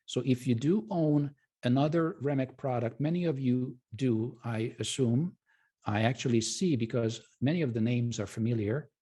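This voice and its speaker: Male webinar presenter. Soft-edged, dark tone with some huskiness; polite, informal, halting sales delivery. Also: mid-range voice, husky. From Hungary. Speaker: presenter and trading educator.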